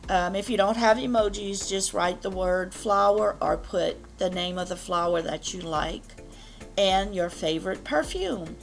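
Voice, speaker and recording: A woman beauty vlogger talks through product copy at an unhurried pace. Her voice is deep, rough and strained from allergies, with a gravelly rasp. Faint music plays behind her. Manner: slow, careful reading of product copy. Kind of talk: reading product descriptions to camera.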